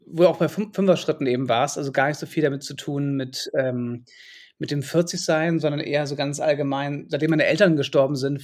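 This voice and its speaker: Reflective, hesitant man in his forties. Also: a little high. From Hamburg, Germany. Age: 40s. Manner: reflective and hesitant, many fillers. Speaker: guest author. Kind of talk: conversational podcast interview.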